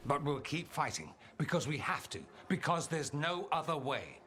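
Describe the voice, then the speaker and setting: An actor playing a suave, witty mage rebel in a video game, speaking with wry, theatrical eloquence. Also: male voice, a little high, big pitch swings, normal speed, bright, forceful tone. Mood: resolute. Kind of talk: video game cutscene acting.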